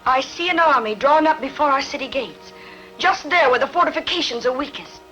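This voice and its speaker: A clear-cut, fairly high voice of an ominous ancient queen played by a woman in an old film dub. She speaks solemnly and with pride, over faint music. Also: expressive, bright, forceful tone, low-quality recording. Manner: solemn, measured, dramatic. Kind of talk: dubbed historical epic acting.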